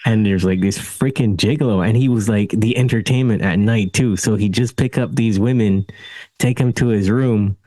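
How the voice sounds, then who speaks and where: Host: man with a low voice, chatty storyteller, dry close recording. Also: normal speed, dark tone, dry recording, low-quality recording. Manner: chatty, laughs through stories. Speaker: podcast host. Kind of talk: casual interview conversation.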